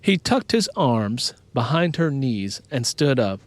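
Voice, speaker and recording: A man's voice: medium pitch, sing-song, slow pace, no room sound. A man reading scripted audiobook fiction as its narrator.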